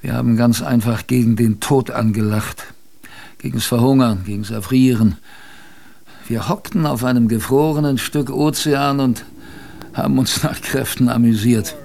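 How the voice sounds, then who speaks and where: A man playing a plainspoken expedition survivor, telling his story in a wry, colloquial, gravelly radio drama narration. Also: mid-range voice, normal speed, darkish tone, close to an everyday voice. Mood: wry, reflective. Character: plainspoken male polar expedition survivor recalling hardship, wry.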